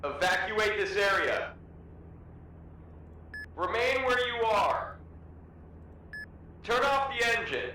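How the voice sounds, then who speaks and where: A man's voice: high voice, lively intonation, slow, long pauses, heavily acted. A man playing a stern police officer giving clipped, authoritative announcements through a PA megaphone. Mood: stern commanding. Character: stern commanding male police officer on a loudspeaker. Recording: echoing, noisy, distant loudspeaker.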